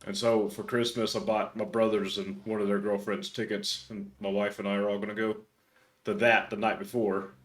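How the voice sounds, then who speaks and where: Croaky, stuffed-up guy, lowish voice. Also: little pitch movement, moderate pace. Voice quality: croaky, congested. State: stuffed up, hoarse from screaming. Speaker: game streamer. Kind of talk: casual chat while gaming.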